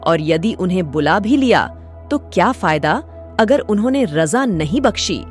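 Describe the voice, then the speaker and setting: A woman's voice: lowish voice, animated, moderate pace, faint music behind, lightly acted. A woman playing a devout, respectful disciple and queen's companion in a devotional cartoon story, speaking earnestly. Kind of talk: devotional animated story acting.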